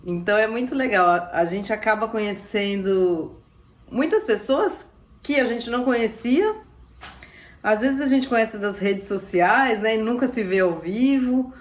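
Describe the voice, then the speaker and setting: A woman from Ilhabela, chatting casually to camera about sailing while losing her voice and coughing. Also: mid-range voice. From Ilhabela, Brazil. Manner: chatty and upbeat, with frequent laughs and asides. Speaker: sailing vlogger.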